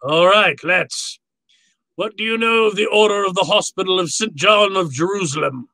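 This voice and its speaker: An actor playing a courtly, gloating villain in a 1940s-style radio drama, with a florid, pompous, theatrical delivery. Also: male voice, high-pitched, moderate pace, creaky, bright, forceful tone, no room sound, full character voice, low-quality recording. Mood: courteous. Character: courtly, gloating, verbose male villain, obsessive collector.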